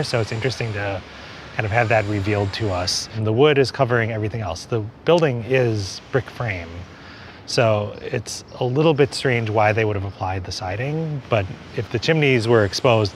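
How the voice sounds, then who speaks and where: A man in his forties, an architect, giving an on-camera walking tour outdoors, explaining buildings in a conversational way with many small hedges. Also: on the low side. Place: outdoors on a city street. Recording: city street noise behind.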